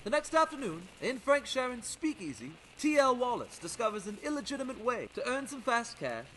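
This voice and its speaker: A man announces in dramatic old-time radio style. His voice is strikingly high and bright, with formal, proclaiming delivery over slight background noise. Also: poor audio. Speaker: narrator.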